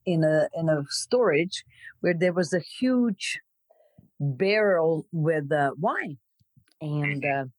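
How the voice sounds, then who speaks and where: Low-pitched mature Croatian-accented woman, dry sound. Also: darkish tone, no room sound. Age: middle-aged or older. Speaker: podcast guest. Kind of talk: personal storytelling in an interview.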